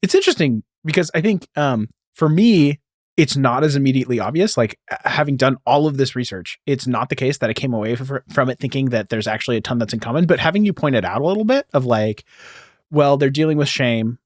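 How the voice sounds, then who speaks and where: A man's voice: medium pitch, expressive, fast talker, dry recording, clean studio sound. A man hosting a podcast, telling a story in a casual, informal way peppered with "like" and "you know.". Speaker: podcast host.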